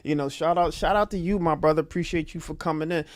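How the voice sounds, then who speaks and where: A man hosting a radio talk show in a studio, animated and full of slang, repeating himself as he speaks. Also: on the high side, fast talker.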